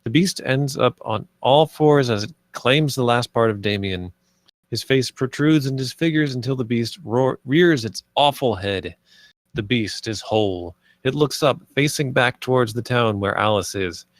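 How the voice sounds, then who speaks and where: A man's voice: medium pitch, unhurried, no room sound. A man reading stage directions as narrator at a screenplay table read.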